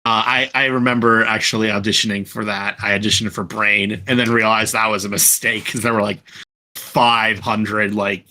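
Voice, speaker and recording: Man, lowish pitch, bright forceful tone, podcast host, casual chat, rambling, self-correcting. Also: flat delivery, moderate pace.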